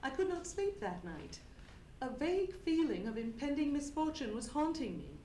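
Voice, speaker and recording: A woman's voice: sits high, big pitch swings, normal speed, mellow. A woman playing a frightened, distraught young Englishwoman who tells her story formally and dramatically in an old-time radio mystery. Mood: uneasy. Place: theatre stage, live radio play performance. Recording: echoey live theatre, faint noise.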